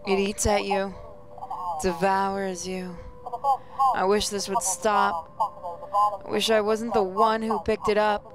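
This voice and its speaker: A woman's voice: mid-range voice, little pitch movement, slow pace, long pauses, very noisy. A woman gaming host reads a visual novel aloud as dramatic, emotive first-person narration.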